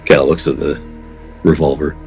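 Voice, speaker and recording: A man running a tabletop game, improvising narration in a halting, conversational way. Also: extremely low pitch, level tone, gravelly. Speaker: game master. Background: faint music.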